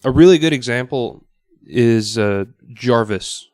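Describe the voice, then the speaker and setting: A man's voice: mid-range voice, lively intonation, unhurried, a little mellow, dry recording, studio quality. A man on a podcast panel, talking informally and explaining things through examples. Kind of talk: casual panel discussion. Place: studio.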